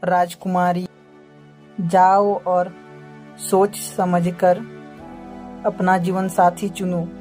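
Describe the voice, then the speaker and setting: A woman playing a grave, formal king and father in an animated moral tale, speaking in a measured way. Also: lowish voice, faint music behind. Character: grave, worried king and father, stern when angered.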